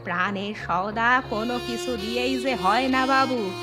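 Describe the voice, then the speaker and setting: Woman acting a slow-spoken, earnest village local. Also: fairly high pitch, music in the background, close to an everyday voice. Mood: grave warning. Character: earnest, deferential village local warning visitors of danger. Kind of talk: horror cartoon voice acting.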